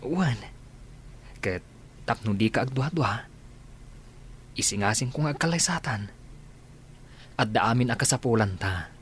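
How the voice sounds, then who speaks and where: A man's voice: mid-range voice, expressive, measured pace, long pauses, fairly bright tone, a little hiss or hum. A man acting an earnest, lovestruck village suitor in a radio drama. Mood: tender, pleading. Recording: music laid under the voice.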